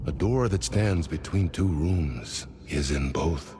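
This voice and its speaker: An enigmatic male sage from a horror game, with a very low voice. He intones riddles solemnly and deliberately over clear background noise. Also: lively intonation, full character voice. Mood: ominous. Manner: slow, portentous, deliberate. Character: cryptic, solemn male mystic speaking in riddles. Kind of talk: dramatic video game monologue.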